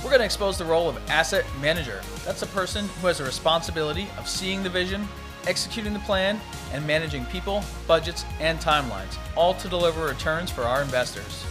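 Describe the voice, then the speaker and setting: A man hosting a podcast, upbeat and enthusiastic, often restarting his phrases as he asks questions. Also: high voice. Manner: upbeat, with frequent stammered restarts. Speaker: podcast host.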